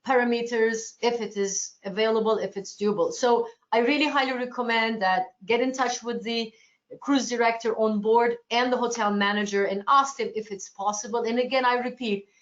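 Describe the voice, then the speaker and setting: A woman's voice: a little high, steady tone, normal speed. A woman from Turkey answering agents' questions in a webinar, restarting sentences often in a reassuring, accommodating way. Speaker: cruise sales presenter. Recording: lo-fi webinar audio.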